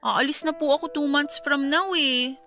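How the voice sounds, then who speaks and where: A woman's voice: high-pitched, fairly even intonation, moderate pace, pushed and bright. Radio drama: actress as a kind young woman, polite and reassuring, with light music underneath. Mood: hopeful. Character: cheerful, caring young woman niece. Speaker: niece about to work abroad. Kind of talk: Tagalog radio drama acting.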